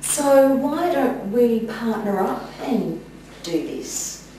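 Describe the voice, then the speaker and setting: A woman's voice: a little high, expressive, very slow, a crowd in the background. A woman in a yoga teacher group, introducing a practice class and making casual remarks. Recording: very echoey room, distant mic, faint noise.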